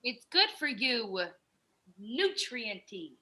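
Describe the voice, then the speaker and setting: A young woman playing an excitable, teasing roommate in her early twenties in a script reading. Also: a little high, slow pace, exaggerated voice. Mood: playful. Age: young adult.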